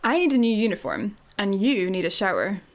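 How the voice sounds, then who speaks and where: An actress with a Scottish accent playing a sardonic, level-headed space traveller in a sci-fi comedy radio play, dry, blunt and teasing. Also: female voice, medium pitch, very animated, a little fast.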